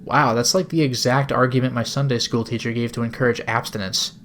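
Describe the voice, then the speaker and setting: A man's voice: medium pitch, fairly even intonation, fairly quick, fairly bright tone, slight background noise, lightly acted. A man voicing a drowsy, sarcastic young woman held captive in a video game, in a wry, exasperated inner monologue. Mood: sarcastic, resolute. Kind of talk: video game dialogue read-aloud.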